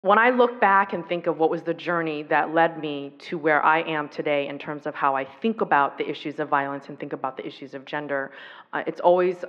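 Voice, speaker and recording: Woman keynote speaker, raised on the US East Coast with Taiwanese as her first language; earnest and reflective, fairly low voice, dull hall recording. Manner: reflective, earnest, with pauses and fillers. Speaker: speaker on violence prevention. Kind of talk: personal keynote talk.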